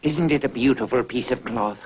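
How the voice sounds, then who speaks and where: A man voicing a swindling weaver in a satirical fairy tale, coaxing and flattering with mock courtesy like a salesman. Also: mid-range voice, brisk, slightly rough, highs cut off, lightly acted. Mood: insistent. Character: smooth-talking swindler weaver flattering his royal marks.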